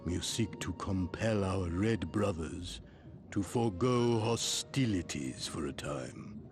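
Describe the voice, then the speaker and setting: An actor playing a wise old turtle-like clan elder in a video game, formal and solemn, speaking in measured oracular phrases. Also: male voice, sits low, animated. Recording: prominent music behind the voice.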